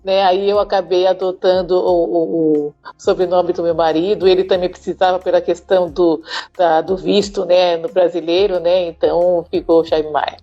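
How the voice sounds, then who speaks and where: A woman's voice: on the low side, flat delivery, moderate pace, highs cut off, soft background music. A middle-aged woman with a São Paulo Brazilian accent, telling her own story informally as an interview guest, often ending phrases with a checking tag. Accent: São Paulo Brazilian Portuguese. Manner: frequent "né?" tags, informal. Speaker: podcast guest, diversity speaker. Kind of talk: conversational personal storytelling in an interview.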